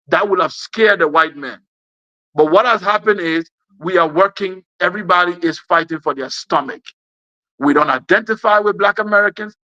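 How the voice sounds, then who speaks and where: A man's voice: high-pitched, pushed and bright, dry recording, highs cut off. A man with a West African accent gives an impassioned political speech, emphatic and repeating phrases for effect. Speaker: speaker giving an address.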